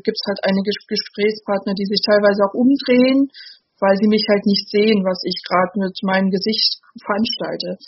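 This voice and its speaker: A woman's voice: mid-range voice, husky, lo-fi sound. A woman hosting a community radio show, stuttering with frequent repeated sounds and words. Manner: stutters, frequent word repetitions.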